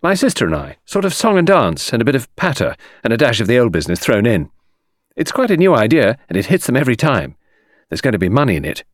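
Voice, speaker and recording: A man's voice: mid-range voice, huge pitch swings, fast talker, no echo, studio quality. A man reading aloud, voicing an impudent, chattering young actress in her late teens. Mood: chatty. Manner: chattering, teasing, theatrical. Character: impudent cheeky young woman, teenage actress.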